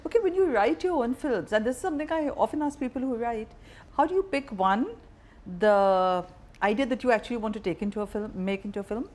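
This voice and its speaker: A woman's voice: on the high side, a little fast, darkish tone. A woman interviewing conversationally, asking direct questions in an informal way. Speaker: interviewer, journalist.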